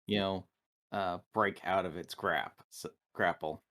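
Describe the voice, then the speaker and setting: A man's voice: sits low, steady tone, unhurried, fairly bright tone. A man talking casually as a player in a tabletop game, assertively explaining and correcting the rules.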